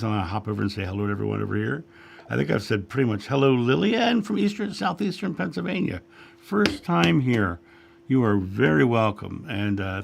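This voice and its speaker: A man hosting a spiritual livestream, chatting casually and greeting viewers by name, his voice a little raspy after a long week. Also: fairly low pitch. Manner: friendly, chatty, reads names from chat with frequent fillers. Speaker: livestream host, spiritual guide. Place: home studio.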